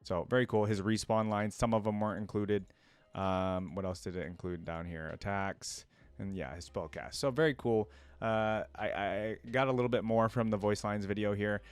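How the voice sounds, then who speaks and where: A man hosting a reaction video, commenting casually and musing out loud as he goes. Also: fairly low pitch, steady tone, normal speed, clear, resonant tone, dry recording, soft background music. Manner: musing, often repeats quoted lines back.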